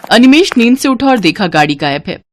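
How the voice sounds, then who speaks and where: A woman's voice: on the high side, big pitch swings, fairly quick, no echo, soft background music. A woman storyteller narrating a scripted moral story.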